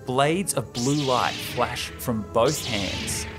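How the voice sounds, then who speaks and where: A man's voice: mid-range voice, slow pace, over music. A young man hosting a podcast, retelling a film script casually and with slangy enthusiasm, close to the microphone. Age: young adult. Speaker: podcast host. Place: small room indoors.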